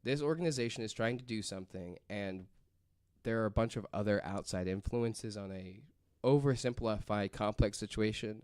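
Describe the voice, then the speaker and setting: A man's voice: fairly low pitch, moderate pace, darkish tone, no echo, studio quality. A man presenting a story on a casual podcast, reading from notes and rambling through asides peppered with "like".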